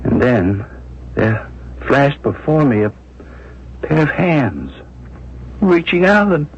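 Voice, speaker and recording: A man's voice: fairly high pitch, very animated, slow pace, slightly rough, exaggerated voice. An actor playing a distraught, tormented man giving testimony, with faltering, intense, theatrical 1970s radio drama delivery. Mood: strained, solemn. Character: distraught male witness, tormented and confessional. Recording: muffled 1970s radio broadcast.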